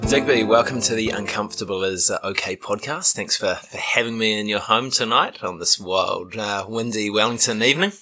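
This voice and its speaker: A man hosting a podcast at home, relaxed and upbeat, with plenty of fillers. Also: lowish voice, brisk, few pauses, soft background music. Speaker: podcast host. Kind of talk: casual podcast interview. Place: home, living room.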